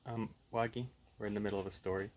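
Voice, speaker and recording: A man's voice: lowish voice, fairly even intonation, fast talker, a little mellow. A man in his thirties hosting a puppet show, chatting casually and reading a horror story aloud.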